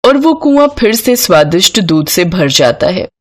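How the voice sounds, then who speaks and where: A woman storyteller reading a scripted bedtime moral tale in an expressive narrating style. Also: on the low side, unhurried.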